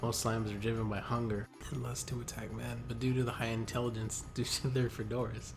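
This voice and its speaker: A man's voice: mid-range voice, flat delivery, a little fast. A man reading aloud in a casual, jokey way with asides, stumbling over the text and breaking into giggles. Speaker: co-host reading wiki entries aloud. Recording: music playing over the voice. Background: video game music.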